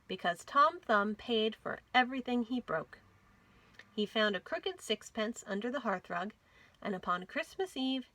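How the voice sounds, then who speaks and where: A woman hosting a children's storytime, reading stories and nursery rhymes aloud in an expressive, clearly enunciated way for young listeners. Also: mid-range voice, no echo, rough recording. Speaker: children's storytime host at a library.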